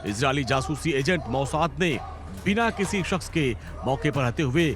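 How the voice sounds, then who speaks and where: A man's voice: fairly high pitch, animated, moderate pace. A man narrating a news feature in a dramatic, emphatic scripted style. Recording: voice-over with loud music bed.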